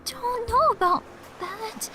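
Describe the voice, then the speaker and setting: Bashful teen girl, visual novel: tiny high voice, slow hesitant lines, clear music underneath. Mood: flustered. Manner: hesitant, stammering, soft-spoken. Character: shy, bashful, sweet teenage girl, easily flustered. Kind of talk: anime-style visual novel game acting. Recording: game audio with music behind.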